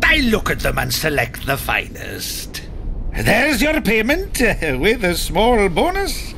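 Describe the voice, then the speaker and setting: An actor voicing an excitable, shifty trader in monster curios in a video game, theatrical and evasive. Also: male voice, high voice, slow, creaky, a little hiss or hum, heavily acted. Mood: breezy.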